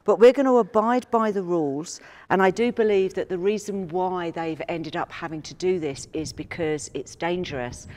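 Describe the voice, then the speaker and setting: A woman's voice: fairly low pitch, normal speed, few pauses, a little mellow, no echo. A woman casually narrating a visit to an old airport outdoors. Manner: chatty, curious, wondering aloud. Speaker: travel vlogger.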